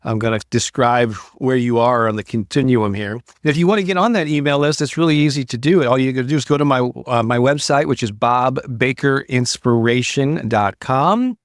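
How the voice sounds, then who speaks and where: A man's voice: mid-range voice, fairly quick, few pauses, no echo, clean studio sound. A man giving an informal motivational talk, speaking to the listener in an encouraging, conversational way. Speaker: motivational creator and host of an online show. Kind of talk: informal motivational coaching talk to an online audience.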